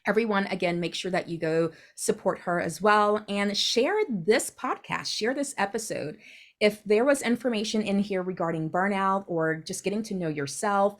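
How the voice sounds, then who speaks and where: A woman's voice: mid-range voice, moderate pace. A woman hosting her own podcast as a coach, encouraging and upbeat, closing the show with a practiced sign-off.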